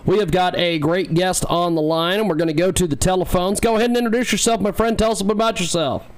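A man's voice: high voice, fast talker, smooth. A man hosting a talk radio show, welcoming listeners and introducing a guest in an upbeat broadcast manner. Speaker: radio host.

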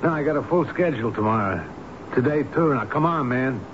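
A man's voice: medium pitch, expressive, fairly quick, close to an everyday voice. A man playing a brusque, impatient repair tradesman in a radio drama, curt and pushy. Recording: muffled, slightly noisy old radio recording.

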